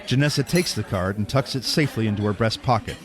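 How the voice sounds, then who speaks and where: A man's voice: on the low side, fast. A man narrating an audio drama from a script as its storyteller. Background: background music.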